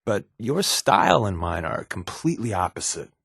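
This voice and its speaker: A man's voice: deep voice, very animated, moderate pace, fairly bright tone, breathy edge, no room sound, studio quality, heavily acted. A man playing an easygoing, wisecracking secret agent with hidden guilt in a video game, talking in a wry, casual, conversational way. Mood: wry, earnest. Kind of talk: video game companion dialogue.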